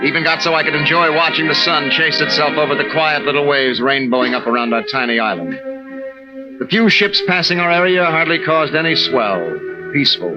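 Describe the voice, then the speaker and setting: A man's voice: a little high, a little fast, lightly acted. A man playing an anxious young lighthouse keeper in old-time radio style, hesitant and stammering when nervous. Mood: wistful, calm. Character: anxious young husband, new lighthouse keeper, hiding fear. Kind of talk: old-time radio acting and first-person narration. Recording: dull vintage radio recording, loud music.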